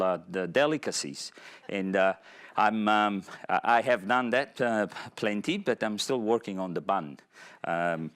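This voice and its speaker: A man from Italy with an Italian accent, a university professor giving a public lecture in a halting way, pausing often to find his words. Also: fairly low pitch. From Italy (Naples). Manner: halting, searches for words with many pauses.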